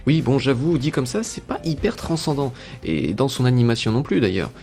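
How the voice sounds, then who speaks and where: A man's voice: mid-range voice, animated, fairly quick, a little mellow. A young man giving a scripted, enthusiastic review as a video essayist. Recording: music playing under the voice. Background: background music.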